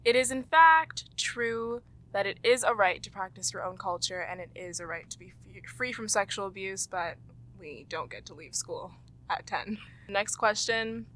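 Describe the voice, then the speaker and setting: A woman with a Canadian accent and a bright, forward voice at an average pitch. She speaks as a host, presenting to listeners and guiding a group. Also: animated, moderate pace. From Toronto, Canada. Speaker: host and quizmaster. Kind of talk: presenting a quiz and leading a group discussion.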